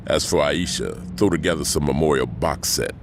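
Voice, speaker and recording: An actor playing a cold, commanding male gang boss in a game cutscene, measured and authoritative. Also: a bass voice, noisy background.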